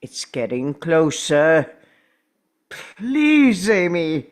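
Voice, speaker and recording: An actor in a script table read playing an urgent, stubborn, protective time traveller, speaking earnestly and deliberately. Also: mid-range voice, big pitch swings. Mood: desperate, stubborn.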